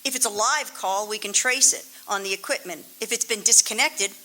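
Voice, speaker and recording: A woman acting a calm, matter-of-fact chief telephone operator in a live radio play. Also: medium pitch, brisk. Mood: businesslike. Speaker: radio drama actor.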